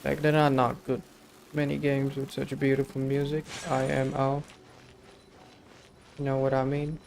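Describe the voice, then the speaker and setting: A man's voice: medium pitch, completely flat delivery, unhurried, vocal fry, a little mellow, a little hiss or hum. A man live streaming a game, giving laid-back, slangy casual commentary.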